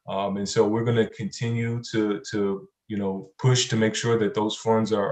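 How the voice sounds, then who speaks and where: A man giving unscripted panel remarks as a community organizer, halting and repeating phrases as he searches for words. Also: lowish voice. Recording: roomy, echoing sound.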